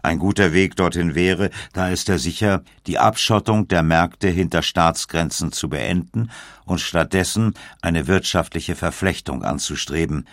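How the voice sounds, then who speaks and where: Deep, gravelly male documentary narrator. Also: dry recording, clean studio sound.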